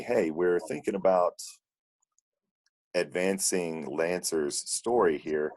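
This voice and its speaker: A man with an extremely deep voice tells a relaxed, casual anecdote. He sounds like a voice actor talking among colleagues on a group call. The sound is dry, with very little room. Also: no room sound. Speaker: volunteer audio drama voice actor.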